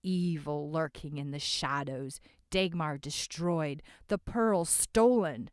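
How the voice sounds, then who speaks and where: A woman voicing a hoarse man who speaks of dark omens. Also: low voice, slow pace, dry recording, clean studio sound. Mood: ominous. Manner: foreboding, ominous. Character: hoarse ominous man, doom-telling storyteller.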